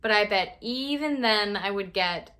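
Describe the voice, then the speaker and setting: A woman's voice: medium pitch, animated, a little slow, pushed and bright. A woman performing a comedic monologue as an exasperated young woman, sarcastic and fed up. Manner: exasperated, sarcastic, mocking men's lines.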